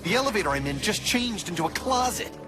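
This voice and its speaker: Stern hero from the future, insistent, lively pitch swings, loud music under him. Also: male voice, fast talker. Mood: agitated. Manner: serious, insistent, commanding. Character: stern, urgent male superhero from the future. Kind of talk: video game voice acting.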